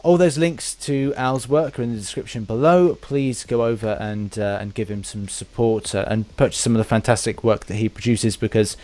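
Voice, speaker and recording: A man speaks as the host of an interview podcast. His voice sits at an average male pitch. He talks casually and informally, often halting as he puts a phrase together. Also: mellow. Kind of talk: casual interview chat with sign-off.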